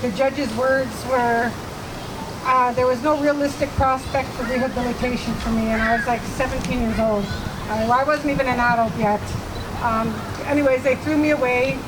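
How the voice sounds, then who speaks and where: A woman's voice: high voice, steady tone, moderate pace. Nervous woman speaking at a noisy rally. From Manitoba, Canada. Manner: hesitant, nervous, many fillers. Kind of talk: personal testimony speech. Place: outdoor rally. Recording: noisy outdoor recording with traffic.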